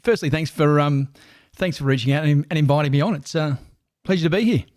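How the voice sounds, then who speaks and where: A man's voice: a little high, fast, a little mellow. An Australian man in his forties from Newcastle, a stadium announcer talking as a podcast guest in a friendly, rambling way with many restarts. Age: 40s. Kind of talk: casual podcast interview.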